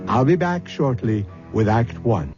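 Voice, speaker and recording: Slow, ominous man hosting vintage radio mystery. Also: mid-range voice, very animated. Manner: ominous, deliberate storytelling. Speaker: radio drama host. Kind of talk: theatrical scripted suspense narration. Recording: muffled old radio broadcast. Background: clear dramatic music.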